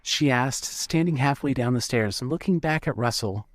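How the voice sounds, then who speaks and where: A man's voice: mid-range voice, normal speed. A man narrating a scripted fiction story in the third person. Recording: clearly noisy background.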